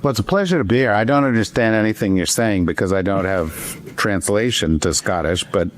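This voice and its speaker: A man's voice: lowish voice, fairly quick. A man, a columnist guest in an interview, giving emphatic, wry political commentary with frequent fillers and stumbles.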